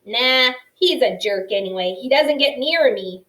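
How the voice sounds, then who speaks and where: A brash old porcupine in a storybook reading, as a woman puts him on, scoffing at danger and teasing in slangy talk. The voice sits fairly high and takes its time. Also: expressive, unhurried, fairly bright tone. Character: gruff old male porcupine, blunt and teasing.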